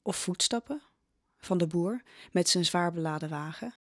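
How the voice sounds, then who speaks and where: A woman's voice: on the low side, moderate pace, dry recording, clean studio sound. A woman narrating a scripted audio drama as a dramatic storyteller.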